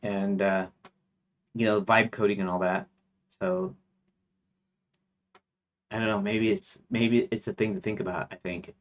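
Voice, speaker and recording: Man, game dev streamer, lowish voice, hesitant and rambling, keyboard clacking behind. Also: unhurried, long pauses, muffled recording. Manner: rambling, hesitant, many fillers and restarts; reads chatbot feature lists aloud in a flat mutter. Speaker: game developer streaming his work. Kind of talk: casual thinking-aloud stream chat.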